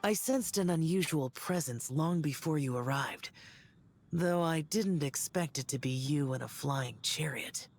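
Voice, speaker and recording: Woman playing a young male demon slayer, low-pitched, terse, stoic, reserved, video game dialogue. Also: unhurried, darkish tone. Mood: reserved, puzzled. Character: stoic, reserved male demon-slaying immortal with youthful looks. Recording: game music behind the voice.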